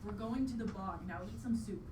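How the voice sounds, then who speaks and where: A woman's voice: mid-range voice, fairly quick, very noisy, close to an everyday voice. A woman playing an earnest, determined mother in a live radio play. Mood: earnest, grave. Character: earnest, determined mother on a bog outing with child. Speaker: stage actor. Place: live theatre performance in a venue. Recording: roomy distant live stage recording, loud music.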